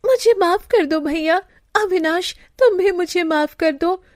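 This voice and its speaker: Woman putting on a high, pleading younger sister. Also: measured pace, darkish tone, dry recording, studio quality. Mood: pleading, regretful. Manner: pleading, emotional. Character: tearful, apologetic younger sister, frightened village woman.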